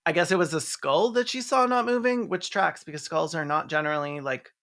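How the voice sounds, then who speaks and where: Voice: mid-range voice, a little fast, fairly bright tone. A person giving a sarcastic, sweary comedic commentary on a film. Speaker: video essayist and host.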